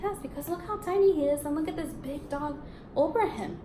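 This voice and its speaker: A woman teaching young children in a read-aloud lesson, encouraging and expressive, asking questions and confirming answers. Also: sits high, a little fast. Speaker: elementary school teacher. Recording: echoey room with background noise.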